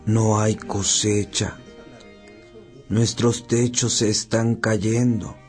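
A man's voice: on the low side, little pitch movement, drawn-out words, a little mellow. A man acting as a poor, hungry villager in a radio drama, speaking humbly and plainly for the destitute. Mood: weary, pleading. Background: music.

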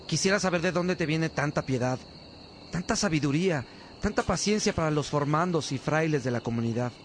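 A man's voice: fairly high pitch, expressive, a little fast, close to an everyday voice. A man playing an attentive, admiring friar in a radio drama, deferential and eager to please. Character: attentive, admiring friar who cooks for brothers. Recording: clear background ambience noise.

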